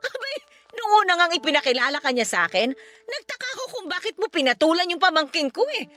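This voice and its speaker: A woman playing a sharp-tongued, bossy, gossipy aunt in a Filipino radio drama, exclaiming bluntly with a Filipino accent. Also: very high voice, big pitch swings, a little fast, faint music behind, exaggerated voice. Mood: mocking, gossipy.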